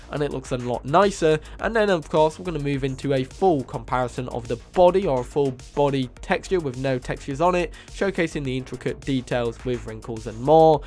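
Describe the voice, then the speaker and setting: A man with a cold, sounding hoarse and nasal, enthusiastically narrating a game mod showcase as host of his channel. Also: mid-range voice, darkish tone. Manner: enthusiastic, casual, repeats praise. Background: faint music.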